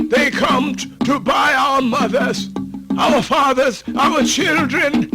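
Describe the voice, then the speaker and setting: A man's voice: very high-pitched, gravelly, exaggerated voice. A man playing an aged, weary yet proud storyteller elder, with a folksy, solemn, oratorical delivery in a radio theatre monologue. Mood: solemn, prophetic. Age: older. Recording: music playing under the voice.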